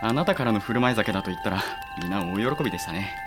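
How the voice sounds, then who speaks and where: An actor playing an earnest, deferential young samurai aide, polite and excitable, in a period audio drama. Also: male voice, on the low side, rapid-fire, over loud music, lightly acted. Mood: quiet, earnest.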